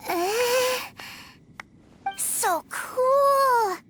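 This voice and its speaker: A woman's voice: very high voice, extremely slow, very noisy, exaggerated voice. A woman voicing an adoring, wide-eyed little boy in a video game, innocent and gushing. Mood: awed. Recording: background music under the dialogue.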